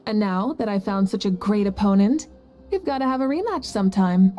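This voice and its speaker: A woman's voice: medium pitch, a little fast, dark tone, natural voice. A woman playing a confident, playful female warrior leader in a video game, speaking in an assured, teasing and encouraging manner. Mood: warm praise.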